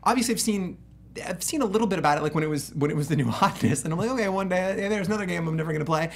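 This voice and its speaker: A man's voice: high voice, very fast. A man hosting a casual panel chat about video games, effusive and slangy in the way he talks. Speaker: talk show host.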